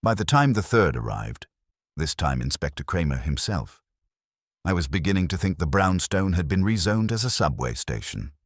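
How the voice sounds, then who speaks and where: Very deep-voiced man narrating detective fiction. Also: very animated, normal speed, no room sound, studio quality. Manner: wry, hard-boiled storytelling. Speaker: audiobook narrator. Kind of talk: scripted first-person detective story narration.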